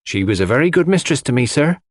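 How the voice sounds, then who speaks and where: An old, grey-haired housemaid in a mystery audiobook, acted out by a man. She speaks in a raised pitch with lively swings, loyal and deferential, ready to turn indignant. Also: fast talker, no room sound, studio quality. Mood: deferential, guarded. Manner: deferential, old-fashioned servant's speech.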